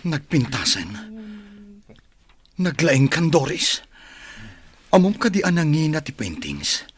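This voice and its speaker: A jovial young master of a radio drama household. His voice is fairly high, slow and drawn out, with a very animated pitch. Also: slightly rough, full character voice. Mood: admiring. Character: cheerful wealthy son, busy expectant father.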